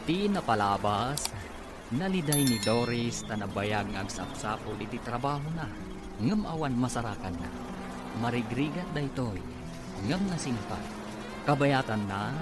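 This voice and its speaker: A man with a Filipino accent giving dramatic scripted narration as a radio serial announcer. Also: medium pitch. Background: music.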